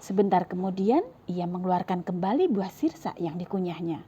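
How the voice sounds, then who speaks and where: A woman's voice: on the low side, animated, normal speed, dark tone, slightly rough. A woman reading a storybook aloud to children in a sing-song manner and talking to them directly, recorded on a clip-on mic. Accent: Indonesian. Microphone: clip-on lapel mic.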